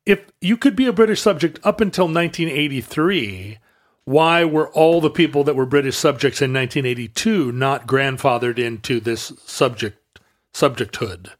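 A man's voice: mid-range voice, moderate pace. A man co-hosting a podcast in casual chat, with dry humor, who closes the show in a mock-grand formal tone. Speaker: podcast co-host.

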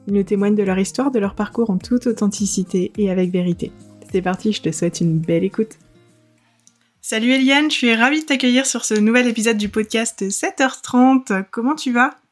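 A woman's voice: medium pitch, fairly quick, faint music behind. A woman hosting a podcast, friendly and welcoming, asking open questions in casual chat. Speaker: podcast host.

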